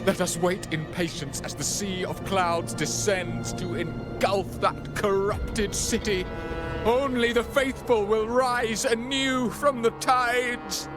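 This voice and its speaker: Game role: portentous, ominous zealot, solemn and very slow, high for a man. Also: fairly even intonation, loud music behind the voice. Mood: solemn menace. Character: ominous, zealous preacher of doom. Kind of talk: video game voice acting.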